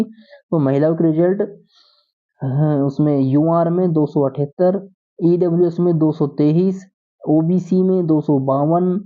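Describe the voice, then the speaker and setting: A tinny, narrow phone-like recording of a man. He explains official updates informally, reading out and then rephrasing them for his viewers. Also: fairly high pitch. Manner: addresses viewers as friends, repeats and paraphrases. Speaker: host of a job exam update channel.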